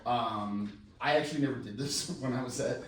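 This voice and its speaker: A man's voice: mid-range voice, moderate pace. A man giving a casual, rambling introduction as a scriptwriter, with a self-deprecating, joking manner. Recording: echoey room, distant mic, faint noise.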